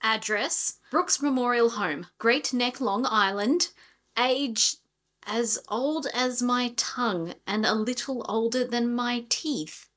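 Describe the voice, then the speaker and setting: High-voiced, slow-spoken businesswoman played by a woman. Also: fairly bright tone. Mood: businesslike, firm. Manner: businesslike, measured, polite. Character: brisk, practical woman manager and mother, politely firm. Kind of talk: old-time radio drama acting.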